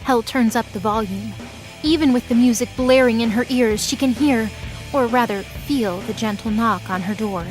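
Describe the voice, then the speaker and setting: A woman's voice: on the high side, normal speed, soft background music, rough recording. A woman reading scripted, dramatic third-person narration for a mythology audio drama.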